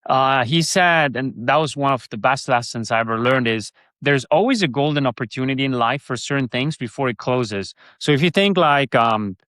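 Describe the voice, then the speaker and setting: A man with a Swiss German accent chats as a podcast guest, circling his points with restarts and fillers. His voice sits in a middle pitch range. The sound is close and dry, with no room around it. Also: lively intonation, moderate pace, hardly any pauses, a bit bright, no room sound. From Switzerland. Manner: rambling, many restarts and fillers. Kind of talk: casual interview chat.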